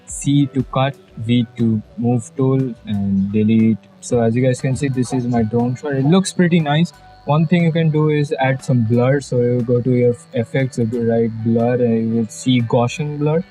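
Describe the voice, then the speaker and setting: A young Indian man with a Bengali English accent talks through a screen-recorded editing tutorial. His voice is mellow and dark, at an ordinary pitch, with faint music under it. He rambles a little, jokes with viewers and sprinkles in fillers. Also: moderate pace, low-quality recording. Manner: chatty, jokey asides, many fillers. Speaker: YouTube tutorial host. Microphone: budget external mic.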